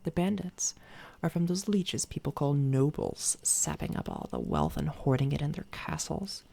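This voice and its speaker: A woman voicing a smug, menacing villainess doppelganger in a soft-spoken, taunting ASMR roleplay, often slipping into a whisper. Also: low voice, huge pitch swings, gravelly, exaggerated voice. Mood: scornful. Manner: taunting, condescending, unhurried. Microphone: close binaural microphone.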